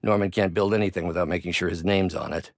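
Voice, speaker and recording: A man's voice: deep voice, fast, no room sound, clean studio sound. A male actor voicing a brilliant, bitter middle-aged scientist turned vengeful villain in a video game, with articulate, theatrical delivery. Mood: thoughtful. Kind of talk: dramatic video game acting, isolated voice lines.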